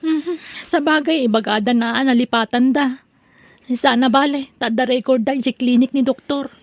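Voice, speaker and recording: A woman's voice: high-pitched, moderate pace, no room sound. A woman playing a dutiful, worried working daughter of elderly parents in an Ilocano comedy audio drama. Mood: explaining.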